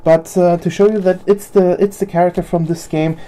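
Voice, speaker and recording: A young woman whose first language is German talks through her collection in a loose, unscripted way. Her voice sits low and sounds very dark and mellow. She hesitates often and circles back over her own words. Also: steady tone, brisk. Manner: hesitant, many fillers and restarts. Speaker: figure collector video host. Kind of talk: casual unscripted show-and-tell.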